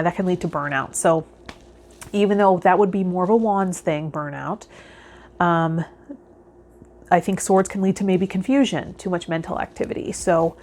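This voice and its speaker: A woman hosting a spiritual vlog, explaining in a measured, reflective, encouraging way, like a calm guide. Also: lowish voice. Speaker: host of a tarot vlog. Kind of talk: calm guided explanation, part read, part informal.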